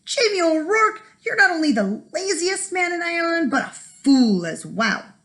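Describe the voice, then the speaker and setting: A woman's voice: very high voice, big pitch swings, normal speed, fairly bright tone, voice pushed up, exaggerated voice. A woman voicing an exasperated, scolding farm wife in a children's storybook, with emphatic delivery. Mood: furious, scolding.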